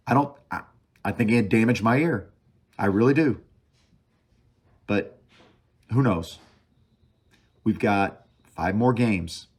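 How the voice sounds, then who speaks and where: A man hosting a sports talk show alone, casually reviewing a game as an opinionated fan, thinking aloud and hammering short phrases by repeating them. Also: mid-range voice. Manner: emphatic repetition of short phrases. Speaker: sports podcast host.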